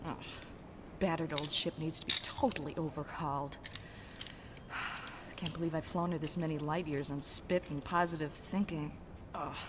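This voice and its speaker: An actress playing a tough, candid young rebel pilot in an audio drama, with a dry, guarded, direct manner. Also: female voice, sits low, fairly even intonation, moderate pace, long pauses, very noisy, lightly acted. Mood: grumbling, wry.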